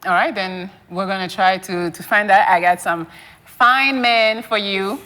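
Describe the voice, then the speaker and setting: Brisk woman host. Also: medium pitch, pushed and bright. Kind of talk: casual hosting.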